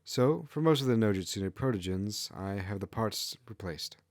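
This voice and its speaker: A man's voice: fairly low pitch, lively intonation, normal speed, a little mellow, no room sound, clean studio sound. Man voicing a confident, principled kitsune woman. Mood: eager, helpful. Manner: confident, earnest.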